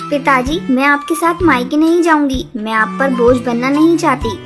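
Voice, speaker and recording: High voice, woman as young cartoon bird daughter, anxious, earnest, protesting, music clearly behind. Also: normal speed, natural voice. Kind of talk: children's cartoon story voice acting.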